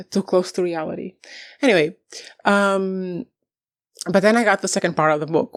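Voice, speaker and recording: Chatty young woman with a dry close sound. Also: dry recording. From Portugal. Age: young adult. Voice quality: deep for a woman. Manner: animated, rambling, laughs often, swears casually. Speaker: book vlogger. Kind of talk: casual chat to camera.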